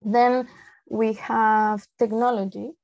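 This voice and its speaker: A woman's voice: fairly high pitch, level tone, slow, a little mellow. A young adult woman from Peru, a native Spanish speaker with a Peruvian Spanish accent, giving an informal, explanatory online presentation. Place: indoor room, on a video call. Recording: dull, lo-fi video call audio.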